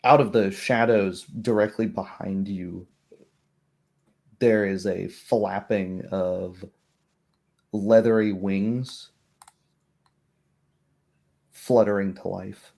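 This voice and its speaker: A man narrating a tabletop roleplaying game as game master, describing scenes slowly and in detail. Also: lowish voice, fairly even intonation, creaky, darkish tone.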